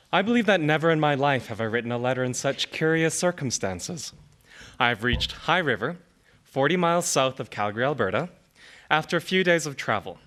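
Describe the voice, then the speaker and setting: A man giving a dramatic reading of an old letter, in a formal, measured storytelling manner. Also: mid-range voice, a bit bright. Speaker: reader of an archival letter.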